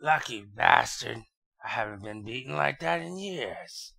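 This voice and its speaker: A man voicing a crude, deadpan swordsman in an anime parody dub. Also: medium pitch, measured pace, creaky, noisy background. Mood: wry.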